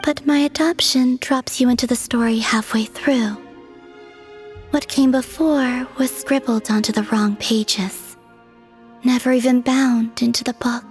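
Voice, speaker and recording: A woman voicing a serene, melancholy young moon goddess, breathy and whispery, speaking softly and poetically in a video game dub. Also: on the high side, lightly acted. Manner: soft, slow and poetic.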